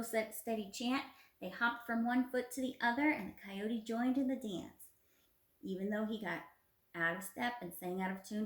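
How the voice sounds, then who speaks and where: Young woman, teacher, mid-pitched voice, encouraging, read-aloud style, roomy phone recording. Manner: encouraging, prompting with simple questions. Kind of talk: children's read-aloud lesson. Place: small indoor room at home. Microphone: phone at arm's length.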